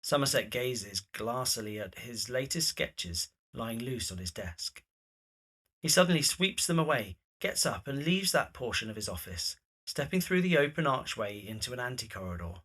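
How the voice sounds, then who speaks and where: A man's voice: medium pitch, animated, normal speed, sharp tone, breathy edge. A man reading scripted, present-tense scene narration for an audio drama.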